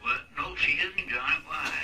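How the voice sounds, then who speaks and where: Man playing fretful high-voiced radio husband. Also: normal speed, loud music behind the voice, natural voice. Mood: puzzled. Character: fretful husband, hesitant office businessman on telephone. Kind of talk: old-time radio acting. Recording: noisy distant stage recording of phone scene.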